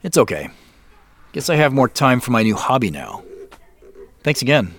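A man's voice: mid-range voice, sing-song, normal speed, a bit bright. An actor playing a wry, guarded man in an audio drama, talking in a dry, laid-back, natural way. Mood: polite, casual. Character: wry, guarded man who suspects he is being spied on.

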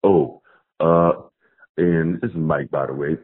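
Bumbling salon customer, played by a man, extremely deep and husky, halting delivery over a phone line. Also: steady tone, full character voice. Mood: hesitant. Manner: halting, hesitant. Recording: muffled, phone-like voicemail audio.

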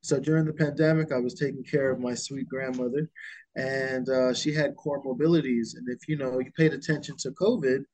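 A man from the Bahamas, a guest entrepreneur, telling his story in an interview with frequent pauses. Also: medium pitch, fairly even intonation, a little mellow, poor audio. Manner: unhurried, with frequent filler pauses.

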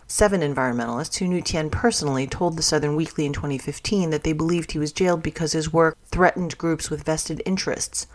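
Podcast news reader, medium pitch voice, reading a written story out loud. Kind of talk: scripted narration of news stories.

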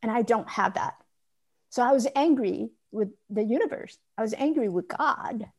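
A woman's voice: a little high, expressive, normal speed, husky. A woman from Taiwan telling her life story as an interview guest, earnest and candid. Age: adult. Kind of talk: personal story in a podcast interview.

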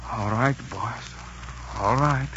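An actor playing a soft-hearted Italian pirate crewman in a 1940s radio drama. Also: mid-range voice, takes it slowly, close to an everyday voice. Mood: gentle, coaxing. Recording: muffled, hissy 1940s radio recording.

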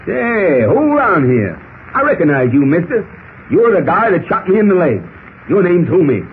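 An actor playing a folksy, talkative, excitable cowboy with an American Western drawl and colorful slang in a 1940s radio style. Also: male voice, high voice, normal speed. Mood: startled. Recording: tinny, noisy old radio recording.

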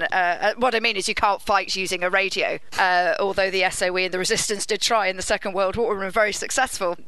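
Female interview guest, expert. Clear, forward tone, earnest explanatory delivery. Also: medium pitch, bright, forceful tone, no room sound, rough recording.

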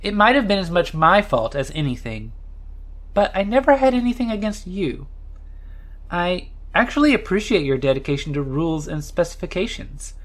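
An actress playing a frank, rule-minded woman in an audio drama, speaking in a deliberate, dry way. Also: on the low side, big pitch swings. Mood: candid.

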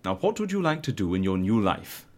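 Eccentric British male fixer, genial and breezy, wry coaxing manner, very lively intonation. Audio comedy. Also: on the low side, a little fast. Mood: brisk, pleased. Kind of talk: scripted audio comedy acting.

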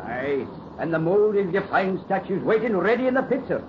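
A noisy, muffled old radio voice: a hearty Scottish foreman. He is high-pitched, obliging and respectful to his betters. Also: big pitch swings, brisk. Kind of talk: 1930s radio acting.

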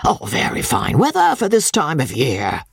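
A man's voice: on the high side, wildly swooping pitch, normal speed, vocal fry, breathy edge, no echo, clean studio sound, exaggerated voice. A man playing a coarse, leering old baronet. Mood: wheedling. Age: older. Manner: coarse, bawling, chuckling, sly asides. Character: crude leering old baronet, nearly eighty.

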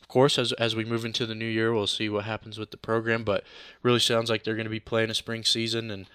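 A man hosting a sports podcast, wrapping up the episode in a steady, informal way. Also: on the low side, quick pace, clear, resonant tone, no echo. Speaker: podcast host. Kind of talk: solo show wrap-up.

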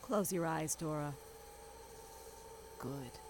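An actress playing a menacing, scornful gang leader and scientist in an audio drama, sardonic, taunting and commanding. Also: female voice, low-pitched, slow pace, heavy background noise. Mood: quietly menacing.

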